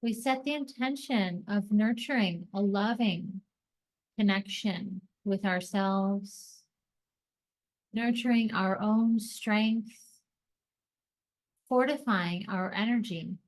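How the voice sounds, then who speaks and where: A woman hosting a guided meditation, speaking in deliberate short phrases with pauses between them, as scripted soothing narration. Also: mid-range voice, rough recording.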